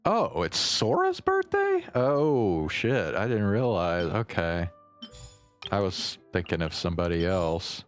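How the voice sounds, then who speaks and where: Male streamer, very low and smooth, slow rambling casual chat, close dry sound, faint music. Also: huge pitch swings. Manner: halting, rambling, casual swearing. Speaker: live streamer.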